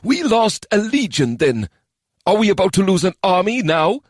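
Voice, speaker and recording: Brief: male narrator voicing an angry elven warrior lord, much higher than his own voice, loud and scoffing. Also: very animated, moderate pace, no room sound, studio quality. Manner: scoffing, raised voice.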